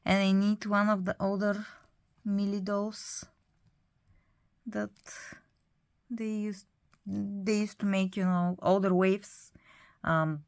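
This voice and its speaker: A woman's voice: medium pitch, dry recording. A woman in her thirties with a Bulgarian accent, chatting casually about her doll collection, with many hesitant fillers. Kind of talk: casual hobby vlog narration.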